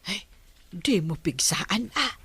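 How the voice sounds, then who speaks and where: A woman playing a gossipy, grumbling village woman in an Ilocano comedy drama, with drawn-out exclamations. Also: lowish voice, expressive.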